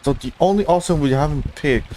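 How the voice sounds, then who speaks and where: A man's voice: fairly high pitch, brisk, mellow, a little hiss or hum. A man live-streaming a game, casually and hesitantly thinking aloud.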